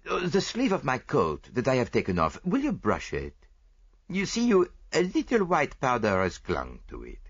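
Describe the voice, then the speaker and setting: A man's voice: mid-range voice, wildly swooping pitch, moderate pace, husky, highs cut off, pitched above the performer's own voice, heavily acted. A man voicing a vain, fussy little detective with precise, foreign-flavored phrasing, read as an audiobook character. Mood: coaxing.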